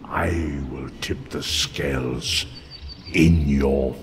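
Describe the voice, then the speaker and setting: Slow, mournful vengeful ghost lord. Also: male voice, a bass voice, steady tone, long reverb tail, noisy background, exaggerated voice. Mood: grave, urgent. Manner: solemn, theatrical delivery. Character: mournful ghost of a betrayed nobleman seeking revenge. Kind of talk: dramatic fantasy game acting. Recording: loud music behind the voice. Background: dramatic game music.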